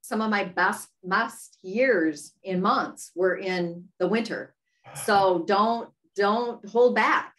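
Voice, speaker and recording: A woman with a slightly squeaky voice, chatting candidly and upbeat about real estate work in a casual livestream. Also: slow, a bit bright. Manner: candid and upbeat, laughs at herself. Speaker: real estate broker support staff. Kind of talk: casual chat.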